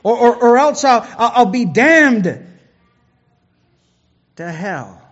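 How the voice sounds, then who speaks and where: A man's voice: very high voice, very animated, takes it slowly, a bit bright, lo-fi sound. A man preaching a sermon who often repeats words as he builds a point.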